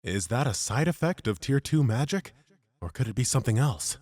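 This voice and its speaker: Guy playing modest young warrior hero, sincere n a bit sheepish, lively pitch, dry audiobook sound. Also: brisk, no room sound, natural voice. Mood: sheepish, wondering. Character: earnest, modest young male fantasy warrior. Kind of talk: dramatized fantasy audiobook acting.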